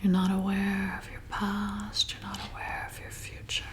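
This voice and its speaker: A woman playing a flirty, slyly predatory vampire bartender in an intimate, coaxing, breathy whispered ASMR roleplay. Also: mid-range voice, completely flat delivery, natural voice. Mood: hypnotic, soothing. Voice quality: breathy whisper with creaky edges. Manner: slow, soothing, intimate, coaxing.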